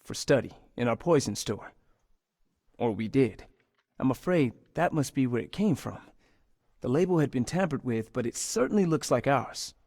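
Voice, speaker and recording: A man's voice: mid-range voice, lively intonation, moderate pace, darkish tone, dry recording, studio quality. An actor playing an earnest university scientist in a video game, polite and a little hesitant as he explains things. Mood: worried. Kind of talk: video game dialogue acting.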